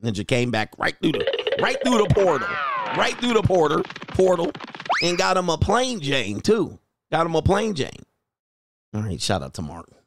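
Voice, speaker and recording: A man's voice: fairly high pitch, huge pitch swings, moderate pace, vocal fry, fairly bright tone, a little hiss or hum. A man hosting a casual livestream as a dating coach, reading viewer comments aloud in a joking, rambling way and laughing often. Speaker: dating coach and show host.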